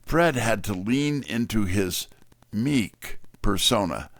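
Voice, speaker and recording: A man's voice: lowish voice, expressive, slow, no echo. A man playing a wry, streetwise detective's assistant, narrating a scripted mystery in the first person. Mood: wry, suspenseful.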